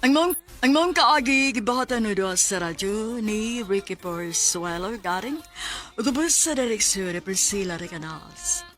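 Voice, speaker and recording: Radio announcer: Cebuano Filipina, medium pitch, formal measured script reading, music bed underneath. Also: female voice, expressive, fairly bright tone.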